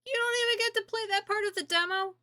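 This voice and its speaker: A woman's voice: extremely high pitch, quick pace, pushed and bright, soft background music. A woman giving casual, blunt gaming commentary as a streamer, with informal, sweary phrasing. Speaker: game streamer reacting to and reviewing a demo.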